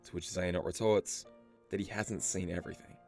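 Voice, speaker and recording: A man reading scripted story explainer narration in a measured, storytelling delivery. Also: deep voice, fairly even intonation, a little mellow. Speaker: video narrator. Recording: music behind the voice. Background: background music.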